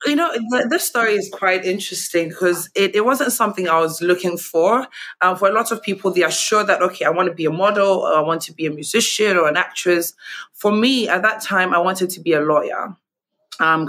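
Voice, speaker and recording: A young Ghanaian woman with a Ghanaian accent, chatting as a guest over a remote call, lively with frequent laughs. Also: on the low side. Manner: lively, laughs often, many fillers. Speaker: guest, TV host. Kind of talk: casual interview chat. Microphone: video call.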